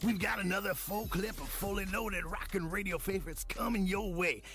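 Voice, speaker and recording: Man playing a hyped gravelly outlaw radio DJ. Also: high voice, normal speed. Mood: swaggering hype. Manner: theatrical, shouting hype delivery. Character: hyped outlaw rock-and-roll radio DJ character. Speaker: radio disc jockey. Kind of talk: high-energy retro radio station promo. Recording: produced radio promo over music.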